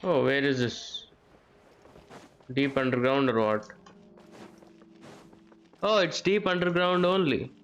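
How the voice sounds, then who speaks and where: A man giving casual live gameplay commentary, halting and muttering to himself as he streams. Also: medium pitch, fairly bright tone, muffled recording. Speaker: game streamer. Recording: game music behind voice. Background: game music.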